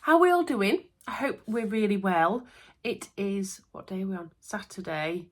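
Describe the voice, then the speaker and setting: A woman's voice: mid-range voice, normal speed. A young woman with a Yorkshire accent and a stuffy, congested voice from a cold, chatting in a bubbly way as she unboxes a sewing parcel at home. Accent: Yorkshire English. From Yorkshire, England. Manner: bubbly, chatty, lots of little asides. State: congested with a cold, nasal and bunged up. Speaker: sewing vlogger.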